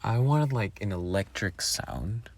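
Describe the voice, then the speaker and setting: A man's voice: on the low side, expressive, a little slow, gravelly. A man giving casual behind-the-scenes commentary on his own audio work in a rambling, informal way. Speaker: audio roleplay creator.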